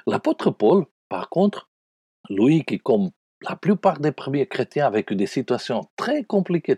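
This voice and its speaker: A man with an Italian accent, a pastor giving an earnest spiritual radio message and speaking warmly to listeners as friends. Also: medium pitch, husky, dry recording, studio quality.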